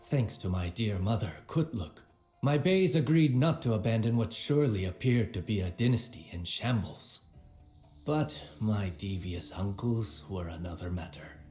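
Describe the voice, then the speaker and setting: Game narrator: proud old warrior king recalling his life, wry and measured, soft-edged tone, music underneath. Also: fairly low pitch. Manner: measured, theatrical, wry storytelling. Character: aging proud Timurid conqueror recounting his own life. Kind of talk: dramatic scripted narration of a memoir. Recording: roomy voice over background music.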